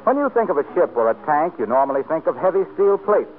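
A man's voice: fairly high pitch, very animated, fast talker, narrow, tinny audio. A man announcing from a script in the style of 1940s radio. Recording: noisy, thin 1940s radio broadcast.

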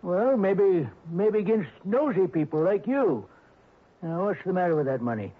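A man's voice: high-pitched, animated, normal speed. An actor playing a crotchety, folksy old retired railroad flagman, evasive and defensive, in 1960s radio drama style. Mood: defensive, testy. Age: older. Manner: folksy rural speech, querulous. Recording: muffled narrow-band vintage radio recording.

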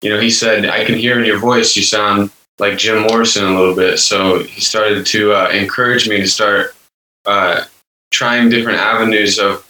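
Young low-voiced man, distant echoey sound. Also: bright, forceful tone. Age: 20s. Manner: rambling, earnest, lots of fillers and repeats. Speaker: guest musician. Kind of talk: casual interview chat. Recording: echoey room, distant from the mic.

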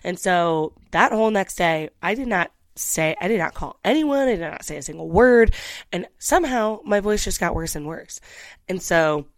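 A young woman in her twenties tells her story in a loose, candid way, often restarting her sentences and tossing in asides. Her voice sits on the low side for a woman. The sound is close and dry. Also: expressive, moderate pace, dry recording. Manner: chatty, candid, with frequent restarts and "like". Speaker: vlogger. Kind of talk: casual storytelling to camera.

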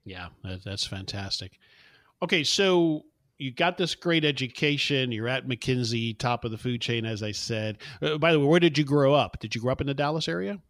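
A man's voice: medium pitch, moderate pace, fairly bright tone, no room sound. A man in his fifties who grew up in South Florida hosts an interview. He asks his questions in a friendly, conversational way, and his voice is recorded close and dry, with no room sound. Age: 50s. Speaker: podcast host. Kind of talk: casual interview chat.

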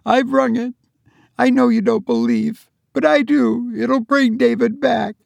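A man's voice: very high-pitched, fairly even intonation, unhurried, gravelly, a little mellow, no room sound, pitched above the performer's own voice, heavily acted. A man voicing a desperate, defiant grieving mother in a dramatic story reading, pleading breathlessly and insistently. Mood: desperate, calling.

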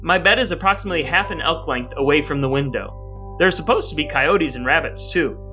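A man's voice: fairly high pitch, brisk, bright, forceful tone, faint music behind. A man hosting a podcast with his brother, speaking in wordy, mock-grandiose phrases that move between scripted lines and banter. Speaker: podcast host.

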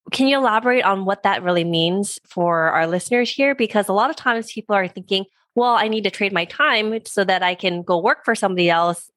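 Podcast host, female, mid pitch, measured and friendly, dry close sound. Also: fairly bright tone, dry recording. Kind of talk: scripted intro, then interview questions.

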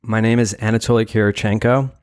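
A man speaking casually as an interview guest, reflective and halting, often padding his sentences with "like" and "you know.". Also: on the low side, steady tone, darkish tone, clean tone. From Brooklyn, New York; born in Ukraine. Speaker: interview guest, gallery founder.

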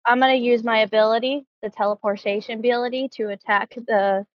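A woman's voice: a little high, steady tone, fairly quick, dry recording. A woman chatting casually as a player in a tabletop game, recorded in dull lo-fi sound.

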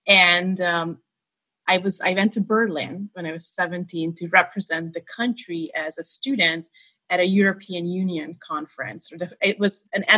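Husky woman from Hungary, storytelling. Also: fairly low pitch, fairly bright tone, poor audio. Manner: storytelling, laughs easily, frequent restarts. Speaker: podcast guest. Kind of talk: casual interview chat.

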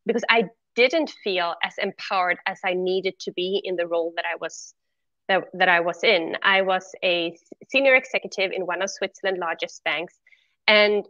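Bright-voiced middle-aged Swedish woman. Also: fairly low pitch, moderate pace, no echo, muffled recording. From Sweden. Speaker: interview guest, former corporate executive. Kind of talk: conversational interview answers.

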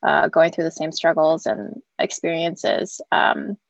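A young American woman from San Diego talks as a podcast guest in a loose, rambling way, full of fillers. Her voice sits on the low side for a woman. It is recorded dry, with a slightly dull, lo-fi quality. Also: lively intonation, a little slow, creaky, dry recording. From San Diego, California. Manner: casual, rambling, many fillers. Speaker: guest athlete and designer. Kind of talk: interview conversation.

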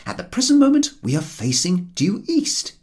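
A man's voice: high-pitched, sing-song, moderate pace, a little mellow, pitched above the performer's own voice. A man voicing a sardonic, grumbling Englishman in a storybook reading, with sarcastic, emphatic, pointed delivery. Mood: sarcastic, insistent.

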